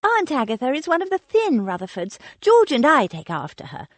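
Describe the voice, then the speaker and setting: A woman voicing an eager, impulsive young woman in a storybook reading, speaking brightly and quickly to reassure. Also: sits high, huge pitch swings, no echo, voice pushed up. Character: warm, eager young woman, loyal cousin.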